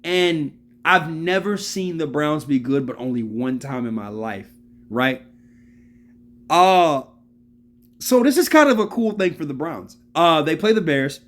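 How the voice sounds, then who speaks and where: A man's voice: a little high, measured pace. A man in his early thirties giving casual, slangy football analysis, repeating his points for emphasis and calling out to viewers. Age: 30s. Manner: animated, repeats phrases for emphasis. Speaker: sports video host.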